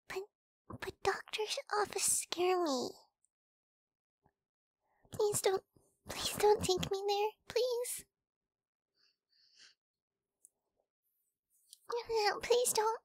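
A woman playing a timid, sweet, wary young wolf girl in an ASMR roleplay, speaking softly and hesitantly, often in whispers, close to the microphone. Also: very high-pitched, no room sound, heavily acted. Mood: pleading, scared. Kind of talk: ASMR girlfriend audio roleplay.

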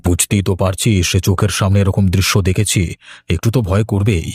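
A timid village dad in middle age, played by a man for a horror cartoon. He has a low, husky voice and talks quickly and fretfully, fond but easily scared. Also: fairly even intonation, a little mellow, dry recording, clean studio sound. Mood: nervous. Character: timid, superstitious village father, middle-aged, fond of his daughter. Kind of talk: animated horror story acting.

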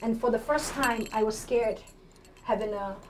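Somali-accented woman, forties, higher register, candidate in conversational interview, some background noise. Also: moderate pace, poor audio. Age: 40s. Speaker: city council candidate, interview guest. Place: indoor TV studio at a desk.

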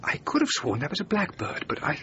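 An actor playing a whimsical, punning architect with a playful manner in a radio drama. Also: male voice, fairly high pitch, wildly swooping pitch, fairly quick, a bit bright. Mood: wistful, whimsical. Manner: jokey, whimsical. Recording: muffled, noisy outdoor-scene radio recording.

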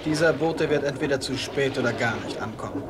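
Man doing sly scornful plotter in a radio drama, medium pitch, loud ambience all around. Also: a little fast, a loud crowd, heavy background noise, close to an everyday voice. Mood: sly, ominous. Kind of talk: German radio play acting.

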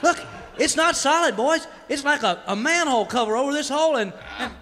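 Guileless, excitable cowboy, piercingly high and swooping, for a radio serial parody. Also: male voice, fairly quick, exaggerated voice. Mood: dim, curious. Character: naive, excitable singing cowboy. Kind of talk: parody of old-time radio serial acting.

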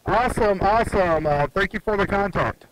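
A man's voice: high-pitched, moderate pace, fairly bright tone. A man in his thirties making casual amateur radio contacts outdoors in a backyard. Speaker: amateur radio operator and vlogger. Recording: very noisy outdoor camera sound.

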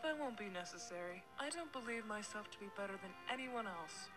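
A woman voicing a reserved young female cat heroine in a cartoon, speaking in a formal, measured, earnest way. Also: medium pitch, lively intonation, close to an everyday voice. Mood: reserved, lost. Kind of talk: cartoon character acting. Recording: music behind the voice.

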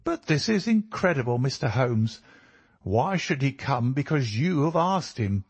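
A man's voice: mid-range voice, sing-song, unhurried, breathy edge, pitched above the performer's own voice, exaggerated voice. A man voicing an astonished, doubtful police inspector in a storybook reading, questioning and incredulous.

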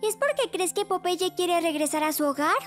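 An actor voicing a curious, supportive little girl in a Latin American Spanish children's cartoon, expressive and childlike. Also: very high voice, normal speed. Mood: gentle. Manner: bright-eyed, expressive, childlike. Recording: clear music behind the voice.

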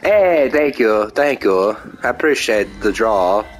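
Young male gamer, drawling and unhurried, goofy self-mocking chatter, light music bed. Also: on the low side, animated. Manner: rambling, self-mocking, breaks into silly sing-song chants. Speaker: gameplay commentator. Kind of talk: casual gameplay commentary.

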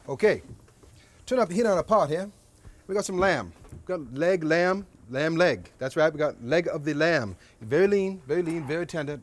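Man cooking outdoors, fast brash chatter, slightly high voice, faint backyard noise. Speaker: cooking show host. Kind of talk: cooking demonstration.

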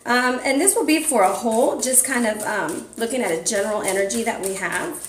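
A woman's voice: on the high side, brisk. A woman in her thirties, an upbeat tarot reader talking casually to her viewers in a small room. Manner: upbeat, chatty, calls viewers family and fam. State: hoarse, losing her voice. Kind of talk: casual monthly tarot reading vlog. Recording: echoey room.

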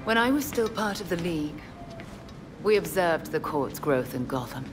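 A woman playing a poised, menacing League schemer in a video game cutscene, speaking formally and deliberately with cool condescension. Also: lowish voice, lively intonation, over music, natural voice. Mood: ominous, solemn.

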